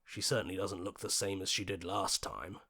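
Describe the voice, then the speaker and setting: A man voicing a level-headed, questioning hero in a video game, speaking earnestly in short deliberate lines. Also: low-pitched, bright, forceful tone, full character voice. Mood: pondering.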